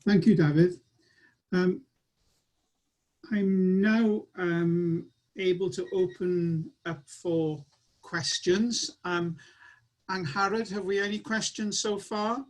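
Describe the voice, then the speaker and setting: A man's voice: high-pitched, fairly even intonation, takes it slowly. A man with a slightly raised voice chairs an online annual meeting. He speaks in an earnest, encouraging way as he wraps up. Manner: earnest, rallying closing remarks.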